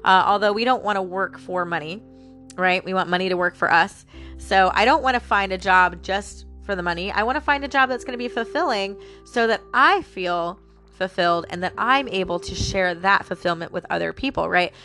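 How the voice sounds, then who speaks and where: A woman's voice: medium pitch, fairly quick, sharp tone, faint music behind. A woman with a stuffy nose and a slightly nasal voice, rambling and musing aloud to her listeners as host of a personal talk show. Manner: chatty, musing aloud, addresses listeners as guys. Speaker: podcast host.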